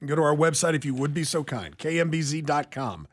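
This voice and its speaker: A man's voice: fairly high pitch, lively intonation, brisk, husky. A man hosting a radio show with casual, joking banter and a wry manner. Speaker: radio host. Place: radio studio.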